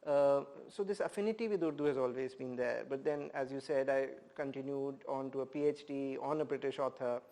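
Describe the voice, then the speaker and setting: A professor and poet from India answers interview questions at length. He speaks in a reflective, measured way, often pausing to find the right word. His voice sits at an ordinary middle pitch. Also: warm tone. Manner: thoughtful, measured, many "uh" pauses.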